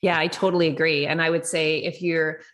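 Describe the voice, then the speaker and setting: A woman's voice: sits low, flat delivery, fairly quick, a bit bright. A woman hosting a podcast, talking earnestly and encouragingly straight to her listeners. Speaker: podcast host and coach. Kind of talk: podcast interview.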